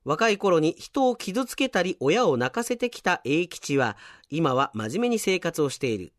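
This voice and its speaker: Male radio host, very lively intonation, chatty reader of listener mail, close dry studio sound. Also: on the high side, normal speed, no echo. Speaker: radio show host and announcer. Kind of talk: reading out listener submissions with casual commentary.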